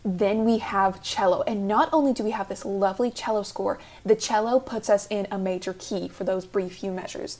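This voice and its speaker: A woman's voice: medium pitch, fairly even intonation, normal speed, hardly any pauses, a little mellow. Articulate woman reading a prepared analysis. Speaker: listener contributor. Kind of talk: scripted music analysis.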